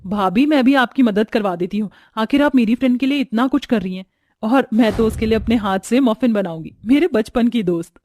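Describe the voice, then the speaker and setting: A woman voicing a bubbly, affectionate young woman in a family story, excitable and gushing. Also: on the high side, fairly quick, slight background noise, close to an everyday voice. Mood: eager.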